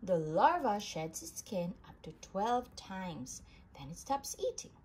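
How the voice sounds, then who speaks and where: Slow, lively woman reading to children. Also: mid-range voice. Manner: animated storybook delivery with emphasis for young listeners. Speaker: reader of a children's book. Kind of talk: expressive read-aloud of a children's science book. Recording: clearly noisy home recording.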